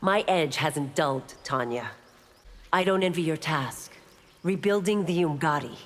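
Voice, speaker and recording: An actress playing a stern, loyal police constable in a video game, speaking in a measured, formal and firm way. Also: female voice, sits low. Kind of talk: video game dialogue acting. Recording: long hall-like reverb.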